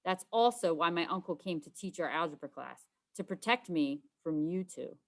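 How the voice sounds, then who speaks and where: A woman's voice: lowish voice, expressive, moderate pace. A woman voicing a sarcastic, secretive young schoolgirl in a children's storybook, with a curt manner. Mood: explaining, firm. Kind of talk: children's storybook read-aloud.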